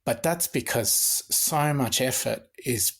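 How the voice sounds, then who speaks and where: A man with an Australian accent, in his forties, speaking at an ordinary mid pitch. He walks through the facts steadily as a guest physician, often restarting phrases, and his voice comes in over a remote connection. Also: creaky, husky. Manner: measured, methodical, with frequent restarts. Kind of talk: podcast interview, explanatory.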